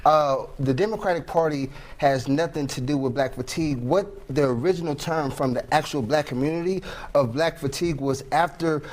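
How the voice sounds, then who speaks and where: A man's voice: on the high side, a little mellow. A man on a debate panel, arguing in a heated, exasperated way.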